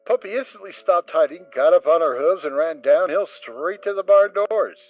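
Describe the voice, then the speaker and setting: A man narrating a dramatic reading of a story, steady and expressive. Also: fairly high pitch, moderate pace, phone-quality sound, faint music behind. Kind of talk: dramatic reading of fan fiction.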